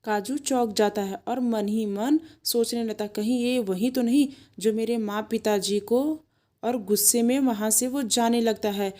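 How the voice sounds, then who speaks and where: A woman narrating an animated moral tale in a scripted storytelling style. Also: on the high side, a little slow.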